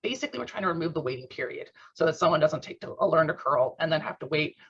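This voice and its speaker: A man explaining club updates in an online meeting, talking informally with lots of fillers, his voice rough and strained after a workout. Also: a little high, lively intonation, fast, fairly bright tone. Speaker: club board secretary. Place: at home on a video call. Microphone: computer mic on a video call. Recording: muffled video call audio.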